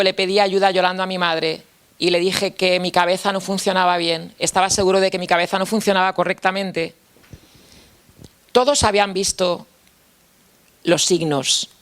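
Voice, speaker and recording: A man's voice: high voice, fairly even intonation, normal speed, a bit bright, clear, resonant tone. A man from Spain with a Spanish accent gives a personal testimony talk, reflective and candid, often repeating phrases and closing points with a questioning tag. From Spain, Castilla-La Mancha. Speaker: speaker at a mental health talk.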